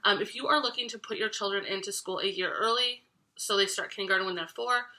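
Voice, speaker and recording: A woman's voice: mid-range voice, quick pace, bright, forceful tone. A young woman in her twenties, congested from a cold, chatting casually to camera about parenting, with many ums and restarts. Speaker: parenting vlogger.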